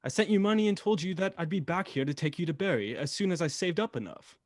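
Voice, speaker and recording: A man's voice: sits high, expressive, quick pace, no echo. A male actor in a radio drama playing a proud, earnest young bridegroom who speaks firmly. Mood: earnest, reassuring.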